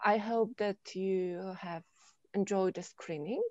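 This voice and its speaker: A woman hosting an online festival panel in a casual, somewhat halting way, over a video call. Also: mid-range voice, steady tone. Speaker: festival Q&A host. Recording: dull, roomy video-call sound.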